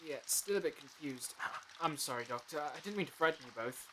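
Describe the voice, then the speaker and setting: A man's voice: a little high, expressive, brisk, fairly bright tone, slight background noise, low-quality recording. A man acting in an audio drama as a dazed, apologetic, anxious figure who stammers and hesitates.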